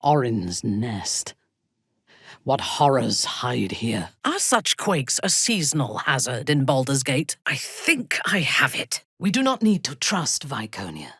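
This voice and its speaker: A woman playing a haughty, ruthless dark elf warrior in a fantasy video game, with an imperious, deliberate, formal delivery. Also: rumbling low, big pitch swings, husky, dry recording, clean studio sound, heavily acted. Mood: measured, grave.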